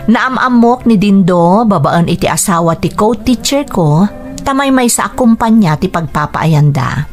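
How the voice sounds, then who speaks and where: An actress playing a gentle young woman teacher in love with a widower, in an Ilocano radio drama. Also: mid-range voice, expressive, moderate pace, natural voice. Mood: fond, reflective. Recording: music bed under dialogue. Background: music.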